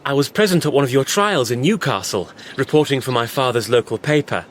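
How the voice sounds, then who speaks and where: A man's voice: mid-range voice, lively intonation, brisk, slight background noise, close to an everyday voice. A man playing a cheeky, eager young rogue. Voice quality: eager, chatty, laughing easily. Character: cheeky young Victorian man posing as a reporter.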